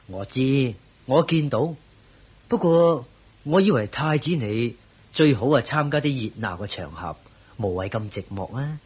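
Husky, animated royal courtier. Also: male voice, mid-range voice, unhurried. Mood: respectfully pleading. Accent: Hong Kong Cantonese. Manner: courtly, expressive. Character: deferential, persuasive royal courtier devoted to his prince. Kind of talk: radio drama acting.

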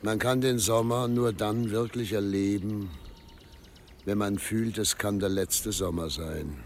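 A man playing an authoritarian middle-aged governor and father in a radio drama, speaking in a measured, declamatory and patronizing way. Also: lowish voice, fairly even intonation, slight background noise. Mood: wry, grave. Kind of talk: German radio drama acting.

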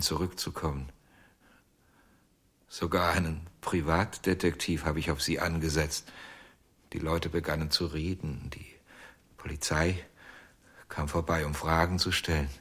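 A tormented, jealous ventriloquist in a radio crime play. His voice is very low and husky, and he confesses slowly and haltingly, often sinking toward a whisper. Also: fairly bright tone, exaggerated voice. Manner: halting, haunted, breaking into near-whispers. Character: anguished, jealous male ventriloquist confessing to lost love. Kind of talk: German radio crime drama acting.

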